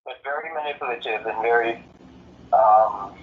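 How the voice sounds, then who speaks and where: A man being interviewed as a writer, talking casually and reflectively in a halting, musing way. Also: mid-range voice, crowd noise. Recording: muffled phone-like call, faint noise.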